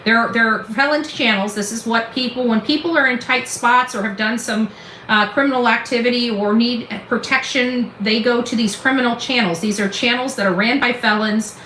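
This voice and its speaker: A woman's voice: fairly high pitch, fairly even intonation, normal speed, bright, forceful tone, a little hiss or hum. A woman giving informal, opinionated online commentary as a host, blunt, defiant and combative, with salty slang. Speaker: YouTube true-crime commentary host. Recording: echoey, roomy sound.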